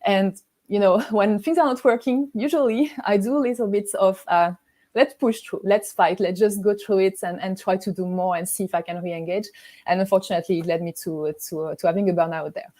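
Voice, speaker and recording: A woman from France with a clear French accent, speaking in her thirties as a coach telling her story. She talks in an easy, relaxed way and laughs often. Her voice comes through a video call at a middle pitch. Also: brisk. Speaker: guest, high-performance coach. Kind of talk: interview answers.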